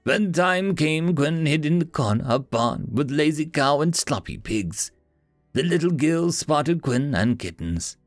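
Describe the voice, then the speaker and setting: A man's voice: fairly high pitch, lively intonation, a little slow, no echo, faint music behind, voice pushed up. A man voicing a regal, proud female house cat spirit with an Eastern European accent and broken speech.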